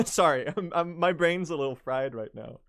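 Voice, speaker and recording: A young Swedish man live-streaming a speedrun, chatting with his viewers in a jokey, self-mocking way and bursting into yells at the game. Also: fairly high pitch, unhurried. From Sweden. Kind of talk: live gaming stream chat. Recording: game music loud under the voice.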